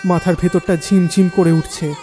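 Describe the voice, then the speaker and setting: A man's voice: sits high, flat delivery, normal speed, lightly acted. An actor playing a troubled young man who narrates his own ghostly tale in a dramatic audio story. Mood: stunned grief. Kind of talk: dramatic first-person horror story narration. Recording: long reverb, faint music bed.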